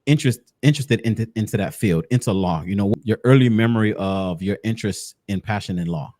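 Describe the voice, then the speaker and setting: A man with a fairly low voice hosts a casual interview. His manner is informal and encouraging, and he likes to double up short phrases. The sound is close and dry, with very little room in it. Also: fairly quick, a little mellow, no room sound. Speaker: podcast host.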